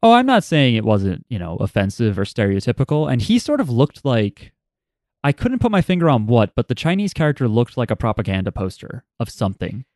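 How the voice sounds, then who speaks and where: A man's voice: mid-range voice, big pitch swings, fairly quick, a little mellow, no echo. Guy co-hosting a chat, rambly, lots of ums, dead dry room. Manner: rambling, thinks aloud with many fillers. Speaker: podcast co-host. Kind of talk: casual chat.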